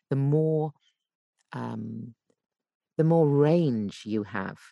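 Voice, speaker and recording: A woman with a low voice explains technique as a voice coach on a podcast. She thinks aloud, repeating and rewording her phrases. The sound is dry, with very little room in it. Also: creaky, warm tone, dry recording. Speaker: guest voice coach and voice actor.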